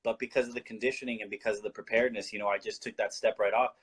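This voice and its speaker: A man's voice: lowish voice, steady tone, very fast, a bit bright, breathy edge. A man from Colombia, a guest in a casual talk-show discussion, explaining his views earnestly and often restarting his sentences.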